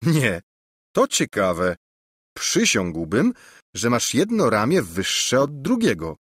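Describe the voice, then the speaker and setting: A man's voice: medium pitch, huge pitch swings, takes it slowly, breathy edge, no room sound, studio quality, full character voice. A man voicing a mocking young prince in a storybook read aloud. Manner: mocking, laughing.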